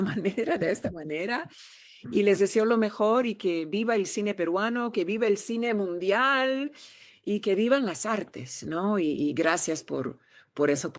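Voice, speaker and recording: A woman's voice: on the low side, no room sound, clean studio sound. A woman from Peru, an actress answering interview questions in an earnest, encouraging way, often restarting her words over a remote call.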